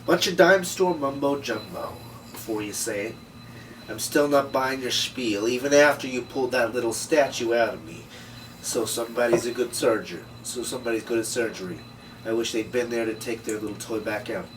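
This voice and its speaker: A man's voice: mid-range voice, moderate pace, close to an everyday voice. A man playing a skeptical, wisecracking, weary detective at a script table read. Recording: distant mic, noisy room.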